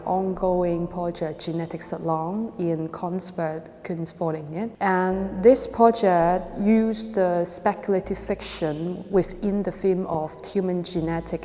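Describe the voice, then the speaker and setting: Mellow woman, Hong Kong accent, reverberant hall. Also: fairly low pitch. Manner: hesitant, halting non-native English. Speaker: video and installation artist. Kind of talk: artist talk presenting an exhibition.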